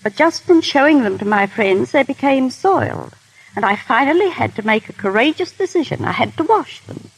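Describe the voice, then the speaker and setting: A woman with a high, very animated voice and a rough husky edge. She speaks formally and genteelly, telling stories as a guest on a vintage 1930s radio broadcast. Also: normal speed, low-quality recording. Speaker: guest technical advisor and former royal dresser. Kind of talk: scripted 1930s radio interview, anecdotal.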